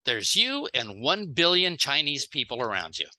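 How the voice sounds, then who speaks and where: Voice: medium pitch, sing-song, a little slow, bright, forceful tone. A speaker giving a sales training seminar in short plain phrases, acting out little example dialogues. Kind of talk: seminar talk in short phrases, paused for an interpreter.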